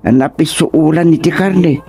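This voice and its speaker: A man's voice: a little high, a little slow, creaky, mellow. A man playing a comic, hungry, easily flustered male ghost in an Ilocano radio drama, bantering with exclamations and an Ilocano accent. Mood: eager.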